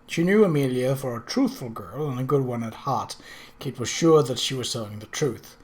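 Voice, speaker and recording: Narrator for period fiction: middle register, scripted, expressive storytelling. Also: mid-range voice, moderate pace. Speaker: audiobook narrator.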